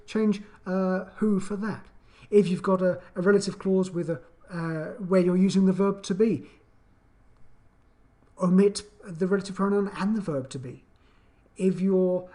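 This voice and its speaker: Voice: medium pitch, dark tone. An English teacher giving an explanatory video lesson with an emphatic, questioning, teacherly delivery.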